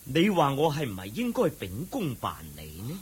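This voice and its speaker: A man's voice: medium pitch, wildly swooping pitch, moderate pace, slightly rough, full character voice. A man playing a stern clan chief in a Cantonese radio drama, formal and pompous, with a Hong Kong accent. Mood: insistent.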